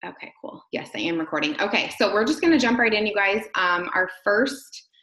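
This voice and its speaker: A woman's voice: medium pitch, lively intonation, fairly quick, bright, forceful tone. A woman in her thirties casually hosting an online training session over a laptop video call from a home room. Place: small home room, indoors. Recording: roomy, echoey laptop webcam sound.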